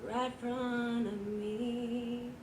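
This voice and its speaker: A woman singing a slow ballad unaccompanied, heard from a video played back in a room. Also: on the high side, level tone, reverberant, background noise.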